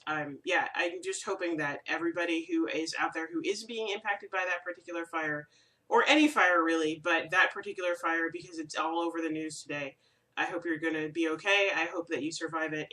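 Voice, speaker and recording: A woman's voice: lowish voice, sharp tone, distant mic, rough recording. A woman with a slight head cold, hosting a casual crafting vlog from her room at home, rambling in a friendly way with plenty of ums. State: stuffy from a cold. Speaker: crafting video host.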